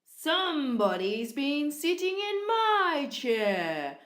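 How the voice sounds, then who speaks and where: Storybook daddy koala, played by a woman: big, grumpy male, growly delivery, slow and heavy. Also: high voice, sing-song, fairly bright tone, pitched above the performer's own voice, heavily acted. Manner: growling, grumbling. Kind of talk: children's storybook character voice.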